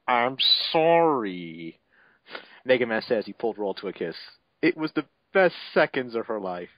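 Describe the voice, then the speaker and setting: Casual male narrator for a bad-fanfic reading, sardonic, mid-pitched, on a lo-fi home mic. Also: very animated, moderate pace, no echo. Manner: sardonic asides, breaks off to swear. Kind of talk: mocking amateur dramatic reading.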